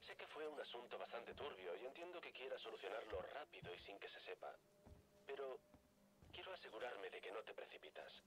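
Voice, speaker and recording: An actor voicing a shady man who pitches a secret deal over the radio in a game. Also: lively intonation, brisk, fairly bright tone, close to an everyday voice. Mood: persuasive. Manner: persuasive, conspiratorial. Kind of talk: game dub dialogue.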